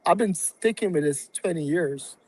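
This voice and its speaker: A man from Barbados, a guest on a podcast, talking through his plans in a reflective, halting way with many fillers. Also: on the high side, darkish tone, breathy edge. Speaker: real estate investor and guest. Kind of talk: casual podcast interview.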